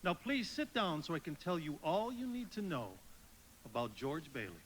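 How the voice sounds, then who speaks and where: A kindly senior angel briefing a junior in a radio drama. His high voice moves animatedly up and down with avuncular authority, over faint noise. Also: very animated, moderate pace, fairly bright tone, rough recording. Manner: instructive, avuncular. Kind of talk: live radio play acting.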